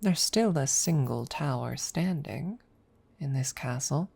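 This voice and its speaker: A woman voicing a proud, guarded female dragon in an ASMR audio roleplay, speaking formally and deliberately in a close, breathy murmur that often slips toward a whisper. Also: rumbling low, animated, dark tone, no room sound, exaggerated voice. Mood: coy, tentative. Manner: formal, deliberate, hesitant, with long pauses. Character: proud, guarded female dragon secretly fond of her rival. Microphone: close microphone.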